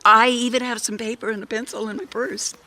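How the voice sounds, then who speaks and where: A woman's voice: a little high, fairly even intonation, brisk, sharp tone, slight background noise, rough recording. A woman playing a kindly, hesitant secretary who humors a troubled stranger in a radio mystery drama. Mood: flustered, coaxing. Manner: hesitant, placating.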